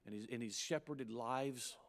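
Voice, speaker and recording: A man's voice: mid-range voice, gravelly. Emphatic preacher in his fifties. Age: 50s. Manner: emphatic preaching with rising, punchy peaks and quick asides. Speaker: pastor. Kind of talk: sermon to pastors.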